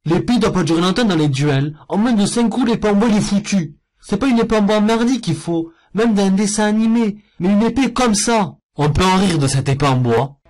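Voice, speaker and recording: Sarcastic man with a fairly high voice. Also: expressive, brisk, hardly any pauses, a little mellow. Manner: sarcastic, jokey, scornful. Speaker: cartoon reviewer. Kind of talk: mocking commentary over clips.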